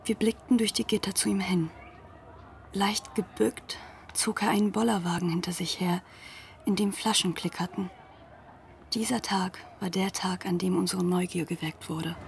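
A woman playing a school pupil who narrates a literary radio drama in a hushed, deliberate way. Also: medium pitch, steady tone, vocal fry, a little hiss or hum, close to an everyday voice. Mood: hushed, observant. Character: former school pupil recounting events as narrator.

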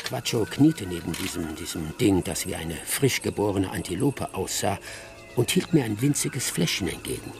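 Gravelly old village storyteller. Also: male voice, sits low, animated. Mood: wry storytelling. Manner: unhurried, folksy storytelling. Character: old male Ghanaian village elder, wry storyteller. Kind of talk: radio drama first-person narration.

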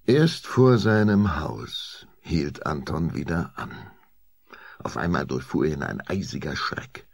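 A man narrating an audio play as a scripted story, with deliberate, suspenseful delivery. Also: very deep voice, sing-song, creaky, dry recording.

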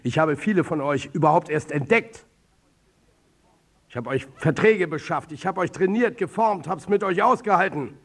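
An actor playing a defiant, cornered football coach in a radio drama, blunt and challenging, with a husky voice. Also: male voice, on the high side, expressive, normal speed. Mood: reproachful, commanding.

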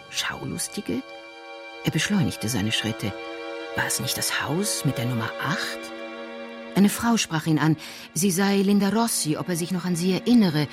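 Crime radio drama narrator, scripted storytelling, medium pitch, music underneath.

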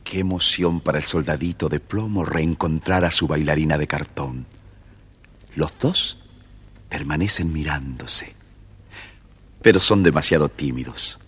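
A man's voice: very deep voice, unhurried, pauses often, a bit bright, husky. A man with an Argentine accent narrating a scripted children's tale, speaking directly to young listeners. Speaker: storyteller, narrator.

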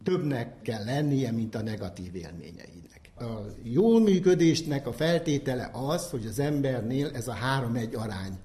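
A man explaining concepts as a psychology expert in a formal, measured, didactic lecturing manner. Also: medium pitch, animated, mellow.

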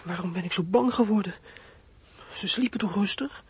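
An actor playing a frightened, scheming fourteen-year-old runaway boy in a radio play, husky and thinking aloud in an anxious, halting way. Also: sits high, darkish tone, heavily acted. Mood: anxious, fretting. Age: teens. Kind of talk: radio play acting, monologue.

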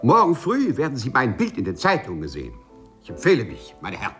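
An actor playing a meek but dignified, secretly proud clerk in a 1950s radio play, speaking formally and politely. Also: male voice, mid-range voice, huge pitch swings, brisk, a bit bright, faint music behind. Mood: offended, dignified.

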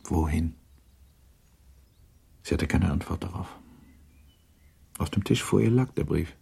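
A man narrating a literary radio play in a grave, measured, restrained manner. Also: low voice, gravelly, mellow, slightly rough, heavily acted. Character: grave, restrained narrator of a 19th-century novel.

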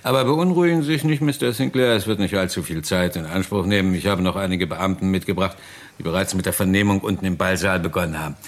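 A calm police inspector with a fairly low voice. He questions in a courteous, reassuring and methodical way, in the manner of a radio crime play. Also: fast. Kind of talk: German radio crime drama acting.

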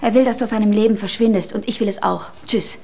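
Woman acting restless young woman, very quick speech, medium pitch, blunt, insistent, radio drama. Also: vocal fry, warm tone, a little hiss or hum, natural voice. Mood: cold, cutting. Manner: direct, insistent.

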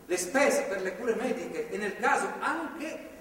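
An actor playing a fervent, controlling middle-aged priest in a stage drama, speaking in a measured, preachy, paternalistic way. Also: male voice, sits high, animated, fairly bright tone, full character voice. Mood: insistent, persuasive. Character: fervent, controlling anti-abortion priest holding a woman captive. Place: theatre stage. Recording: echoey theatre hall, distant mic, faint noise.

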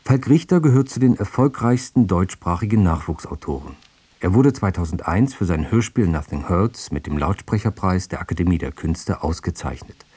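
A man's voice: fairly low pitch, warm tone, breathy edge. A man giving a scripted introduction as a radio presenter.

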